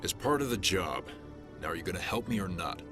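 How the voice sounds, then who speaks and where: A man playing a gruff, pragmatic alien police detective in a video game, blunt and brusque. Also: low-pitched, expressive, a little fast, over music. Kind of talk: game voice acting.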